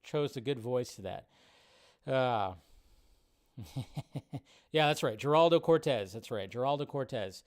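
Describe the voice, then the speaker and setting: A man's voice: medium pitch, expressive, measured pace, long pauses. A man hosting a live stream with casual, enthusiastic, rambling commentary over a video.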